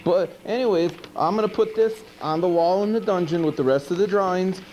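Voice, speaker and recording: A man plays a goofy, good-natured monster hosting a late-night horror movie show. His voice is fairly high and mellow, and he rambles hesitantly in a casual deadpan. The sound is a dull, slightly hissy 1980s videotape. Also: a little fast, close to an everyday voice. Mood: rambling, sheepish. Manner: rambling, hesitant, laid-back deadpan asides with pitch swoops. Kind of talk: casual comic hosting monologue. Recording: dull noisy 1980s VHS tape.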